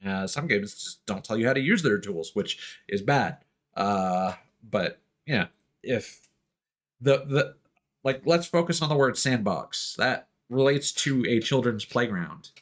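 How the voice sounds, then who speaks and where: A man's voice: medium pitch, animated, unhurried. A man livestreaming a video game with casual, rambling, sweary commentary.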